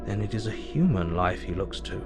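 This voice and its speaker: An actor playing a grave, analytical asylum doctor narrating his diary in an audio drama, measured, thoughtful and formal. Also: low-pitched, lively intonation, music in the background. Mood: pensive.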